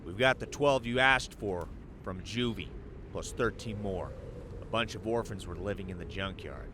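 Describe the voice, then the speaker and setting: An actor playing a commanding, shrewd gang boss in an audio drama, giving orders gruffly. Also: male voice, low-pitched, moderate pace, noisy background, close to an everyday voice. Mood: brisk, gloating.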